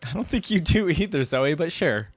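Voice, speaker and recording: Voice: medium pitch, animated, moderate pace, soft background music. A reaction host giving casual commentary, amused and often echoing lines back.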